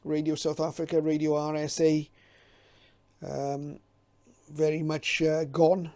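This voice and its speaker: A middle-aged man with a soft-edged, mellow voice that sits slightly high. He talks informally and unscripted, in a very level tone, pausing and hesitating like a hobbyist thinking back as he presents. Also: monotone.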